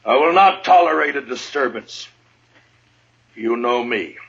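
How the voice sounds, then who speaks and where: Gruff marshal, American accent, radio western, terse authority, weary, muffled noisy vintage recording. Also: male voice, medium pitch, lively intonation, unhurried, fairly bright tone. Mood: sharp command. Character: stern, gruff frontier marshal, commanding and weary. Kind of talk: 1950s radio western acting.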